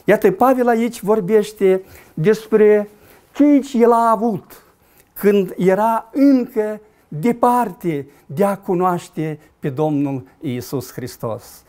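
A man in his sixties, a pastor, preaching a studio sermon from a lectern, swinging between loud climbs and quiet drops. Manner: dramatic preaching with wide swings in pitch and loudness. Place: studio with dark backdrop, at a lectern.

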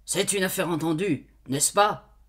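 A woman voicing a shouting, brutish farmer. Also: lowish voice, measured pace, breathy edge. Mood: angry. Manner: loud, angry, interrogating. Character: brutish stubborn middle-aged male farmer, master.

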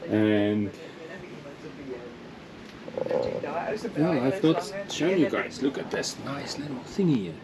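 A man's voice: mid-range voice, expressive, slow, long pauses, a loud crowd. A man with a South African accent giving casual, off-the-cuff vlog commentary from behind a handheld camera. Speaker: vlogger. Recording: noisy outdoor action-camera audio.

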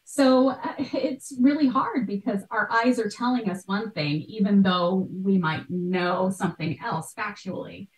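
A woman's voice: mid-range voice, a little slow, hardly any pauses. An American woman, around her thirties, explaining things conversationally as a podcast guest, with emphasis on key words. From United States. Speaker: podcast guest, art history expert. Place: small bright room at home. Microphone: video call. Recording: roomy video-call sound.

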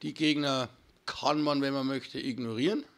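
A hoarse man, chatting casually to viewers while commentating his own gameplay. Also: mid-range voice, fairly even intonation, normal speed. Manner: chatty, addresses viewers as friends. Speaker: gaming channel host. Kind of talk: casual let's-play commentary.

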